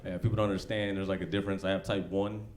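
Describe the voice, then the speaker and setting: Laid-back, low-voiced American man doing stand-up. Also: flat delivery, rapid-fire, over a noisy crowd. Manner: laid-back, slangy, profane delivery. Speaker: stand-up comedian. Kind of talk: live stand-up comedy, conversational. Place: comedy club stage. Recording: live club recording with background noise.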